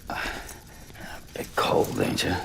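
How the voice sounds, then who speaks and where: A man's voice: takes it slowly, a bit bright, in a whisper. A man playing a terse, guarded courier in a game cutscene, mumbling his short replies. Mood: gruff. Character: gruff, terse male courier. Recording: music bed under the voice. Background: music.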